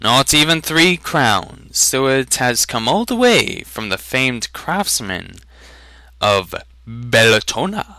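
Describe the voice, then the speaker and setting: A smooth, scheming-polite trader from a fantasy storybook, played by a male reader. His voice is crisp and very bright, with slow, theatrical sales patter. He speaks with courtly formality. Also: mid-range voice, very animated, no room sound, full character voice. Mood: salesman charm. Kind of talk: fantasy audiobook character reading.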